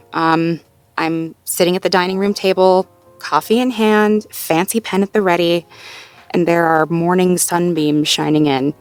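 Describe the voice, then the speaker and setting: A woman's voice: lowish voice, natural voice. A woman playing a sweet, self-doubting, playfully wry girlfriend in an intimate audio roleplay, talking casually and conversationally with a partner. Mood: playfully defensive. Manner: conversational, casual, with sighs and soft chuckles. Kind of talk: intimate slice-of-life audio roleplay acting, one-sided conversation.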